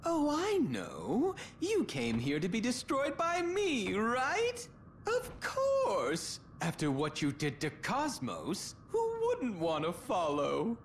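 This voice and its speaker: An actor voicing a mad, nihilistic clown villain in a video game, theatrical and mocking, swinging from singsong to shrieks. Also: male voice, high-pitched, wildly swooping pitch, slow pace, a little hiss or hum, full character voice. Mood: mocking glee. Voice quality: creaky, cackling. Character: manic nihilistic male mad clown villain obsessed with destruction. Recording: loud music under the voice.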